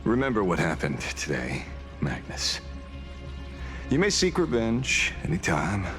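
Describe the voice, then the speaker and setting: An actor playing a world-weary, middle-aged detective, grim and resigned, in a dramatic game. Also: male voice, low-pitched, wildly swooping pitch, measured pace, fairly bright tone, exaggerated voice. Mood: somber, resigned. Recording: music behind the voice.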